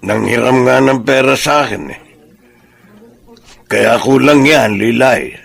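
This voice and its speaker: An actor playing a measured, sympathetic older father and grandfather in a Filipino radio drama. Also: medium pitch, lively intonation, creaky, heavily acted.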